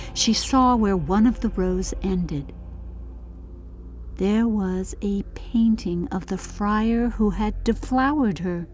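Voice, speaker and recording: A woman narrating a scripted storybook audiobook in a deliberate, dramatic storytelling manner. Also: mid-range voice, a little mellow, slight background noise.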